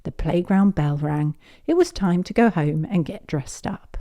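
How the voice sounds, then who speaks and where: A woman reading a children's picture book aloud as a storyteller, in an expressive, measured way. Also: lowish voice.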